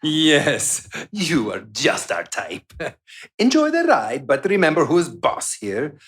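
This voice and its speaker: Radio play villain: smug vampire boss, condescending and theatrical, widely swinging pitch. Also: male voice, huge pitch swings, slow pace, fairly bright tone, heavily acted. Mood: domineering. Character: gloating, menacing male vampire doctor and boss. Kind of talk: live radio play acting.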